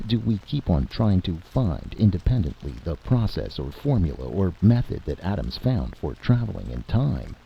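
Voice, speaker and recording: A man narrates a story dramatically. His voice is very low and creaky, with animated swings in pitch. The recording is muffled with faint noise behind it. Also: moderate pace, hardly any pauses.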